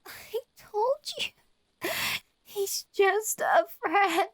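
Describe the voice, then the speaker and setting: A woman's voice: extremely high pitch, drawn-out words, exaggerated voice. A wounded captive woman narrating horror. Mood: pleading, weak, frightened. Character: captive, wounded woman recounting her obsessive lover's abuse. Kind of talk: first-person horror radio drama narration with acted dialogue.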